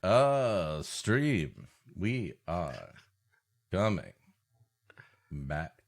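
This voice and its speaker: A man with a fairly deep, very lively voice banters as a comedy podcast co-host. His pitch moves around a lot as he riffs on jokes, and he often laughs at what he has just said. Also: drawn-out words, creaky. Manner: joking, riffing, often laughing at own jokes.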